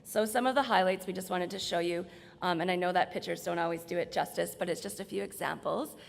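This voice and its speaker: A woman with a slightly hoarse voice gives an informal, explanatory slide presentation in a large room. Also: on the low side, fairly even intonation, fast talker, slight background noise. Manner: explanatory, conversational. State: slightly hoarse, losing her voice. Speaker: presenter from hospital staff. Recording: reverberant hall.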